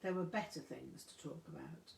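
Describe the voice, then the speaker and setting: A woman narrating a memoir from a script with measured, deliberate phrasing. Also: sits low, creaky, darkish tone. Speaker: audiobook reader. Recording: echoey room.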